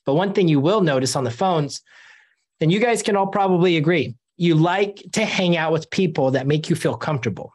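A young man hosting a video call, coaching a sales team in an animated, energetic way from a room at home. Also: fairly high pitch, a little fast. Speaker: host.

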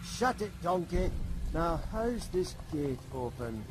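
Gruff Scottish ogre, high-pitched. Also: male voice, very animated, slow pace, noisy background. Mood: impatient. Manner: gruff, sardonic. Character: grumpy adult male ogre, gruff but good-hearted. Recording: loud game music behind. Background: game music and sound effects.

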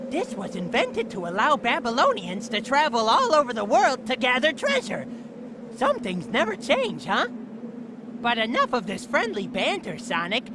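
A woman's voice: a little high, noisy background. An actress voicing a poised, cool-headed female swallow thief in a video game cutscene.

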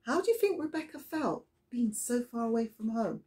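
A middle-aged woman reading a children's Bible story aloud as a storyteller, deliberate and expressive, recorded indoors in a small room. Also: fairly high pitch. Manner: deliberate, expressive storytelling with questions to young listeners.

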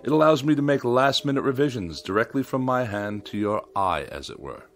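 A man's voice: mid-range voice, lively intonation, fairly quick, lightly acted. Actor playing a pompous, irascible man, wildly swooping delivery. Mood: disdainful. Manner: pompous, haughty, florid, sarcastic. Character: pompous, paranoid, irascible middle-aged male writer who hates machines. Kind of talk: theatrical radio drama acting. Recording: studio radio drama with music behind.

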